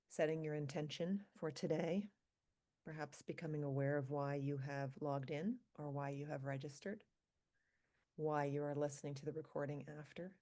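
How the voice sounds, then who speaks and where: A woman leading a guided meditation for a group, speaking calmly and slowly in short, spaced phrases. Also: low-pitched. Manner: calm, slow, pausing between short phrases. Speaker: meditation leader, physician.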